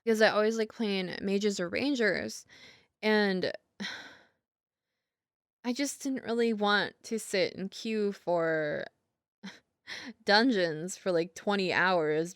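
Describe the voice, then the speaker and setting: A woman's voice: medium pitch, takes it slowly, long pauses, a bit bright, clear, resonant tone, no room sound, clean studio sound. A woman playing an apologetic, affectionate young gamer girlfriend in an audio roleplay, chatting casually in a self-deprecating, giggly ramble. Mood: chatty, amused. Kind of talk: girlfriend ASMR audio roleplay, casual improvised-sounding chat.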